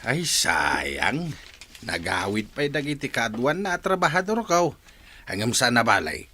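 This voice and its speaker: Genial Ilocano uncle, older male actor, radio comedy: bright, punchy low voice, very animated. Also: a little slow, a little hiss or hum. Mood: teasing, jovial. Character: genial older village uncle who owns a garden.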